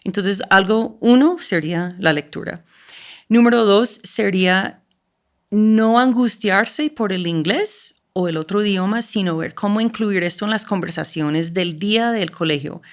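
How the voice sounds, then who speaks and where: A woman's voice: mid-range voice, a little slow. A woman speaking as an interview guest and education coordinator, giving practical tips in an explanatory way and often checking in with "¿sí?". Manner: checks in with listener using "¿sí?". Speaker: school language program coordinator.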